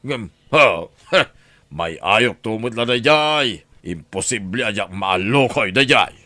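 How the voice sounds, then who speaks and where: A man's voice: fairly low pitch, animated, takes it slowly, sharp tone, no room sound, heavily acted. An actor playing a greedy, scheming middle-aged man in an audio drama, talking in a sly, scoffing way. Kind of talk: radio drama acting.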